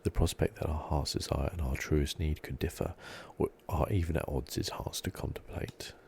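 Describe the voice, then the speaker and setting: A man giving soft, relaxed, musing ASMR commentary as host of a video game playthrough. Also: extremely low pitch, moderate pace, gravelly, a little hiss or hum. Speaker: gaming channel host.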